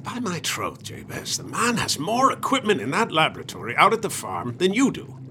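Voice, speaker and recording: Suspicious old colonial farmer, agitated and insinuating, sharp forceful tone, widely swinging intonation. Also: male voice, fairly high pitch, wildly swooping pitch, moderate pace, slight background noise, heavily acted. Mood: agitated, indignant. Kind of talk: radio drama acting in period speech.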